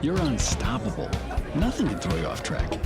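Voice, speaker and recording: A man's voice: medium pitch, wildly swooping pitch, moderate pace, a loud crowd. A man playing a mocking announcer who warns a cocky driver in a public service ad. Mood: wry, taunting. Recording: loud music bed behind.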